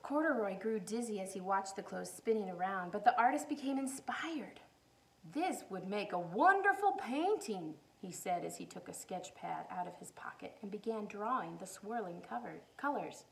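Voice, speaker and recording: A woman's voice: medium pitch, moderate pace, gravelly, few pauses, poor audio. A woman reading a children's storybook aloud like a teacher, expressively, with questions and simple explanations for her listeners.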